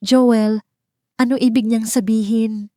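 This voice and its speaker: A woman's voice: fairly high pitch, little pitch movement, measured pace, dark tone, dry recording, clean studio sound, pitched above the performer's own voice, lightly acted. A woman voicing a betrayed wife in an audio drama, pleading and wounded with a trembling manner. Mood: shaken.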